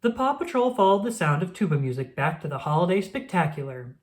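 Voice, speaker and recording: A woman reading a children's picture book aloud in an expressive storytelling manner. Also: fairly low pitch, fast. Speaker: storybook reader.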